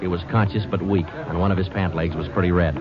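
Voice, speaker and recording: Terse radio cop-narrator, male actor: brisk, flat delivery, dull vintage broadcast sound. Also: low-pitched, close to an everyday voice. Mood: deadpan. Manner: deadpan, clipped, matter-of-fact. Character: terse, dry male police detective narrating his case. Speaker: police detective and narrator. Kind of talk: 1950s radio crime drama, clipped narration. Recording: muffled old radio recording with music.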